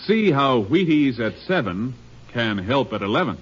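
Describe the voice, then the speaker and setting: A man's voice: mid-range voice, very animated, moderate pace, husky. A male radio announcer giving a formal 1950s show announcement. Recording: dull old radio recording.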